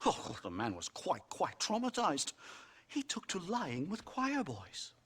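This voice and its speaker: An actor playing a pompous, excitable academic professor in a video game, stammering and condescending as he talks. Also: high voice, unhurried, husky, heavily acted. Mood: self-satisfied. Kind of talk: theatrical video game acting.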